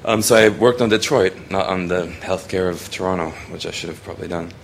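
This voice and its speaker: A man's voice: deep voice, a little fast, creaky, slight background noise. A young man from Hong Kong giving a rambling, casual presentation of his photography, with many ums and restarts.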